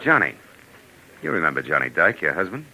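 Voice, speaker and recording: An actor playing a tough, wisecracking American private detective in clipped, sardonic 1940s radio noir style. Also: male voice, low voice, sing-song, brisk, creaky, sharp tone, exaggerated voice, low-quality recording. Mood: needling. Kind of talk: 1940s radio noir acting, hardboiled narration. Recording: hissy 1940s radio recording.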